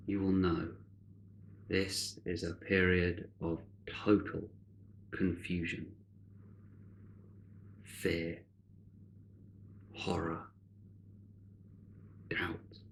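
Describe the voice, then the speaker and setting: A man leads a guided meditation in a low, gravelly voice. He speaks in short, solemn phrases with long, deliberate pauses, keeping a soothing and measured delivery. There is some background noise under the voice. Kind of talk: guided meditation, spiritual narration.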